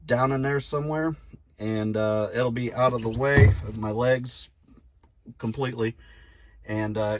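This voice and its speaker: A middle-aged man casually talking through a hands-on DIY job, informal and folksy, thinking aloud as he goes. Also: mid-range voice. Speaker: host of a DIY install video. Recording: noticeable background noise.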